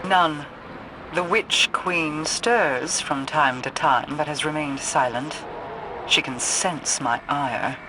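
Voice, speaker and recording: A woman's voice: low voice, slow pace, noisy background. An actress playing a proud, brooding, mystical queen in a video game cutscene, with a solemn and portentous delivery. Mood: cold, proud.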